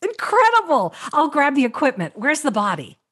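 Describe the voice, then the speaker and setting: A woman's voice: high voice, huge pitch swings, normal speed, a bit bright, heavily acted. An actress playing a cautious, earnest female government agent in a comedic radio play. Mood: urgent. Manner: earnest, protesting.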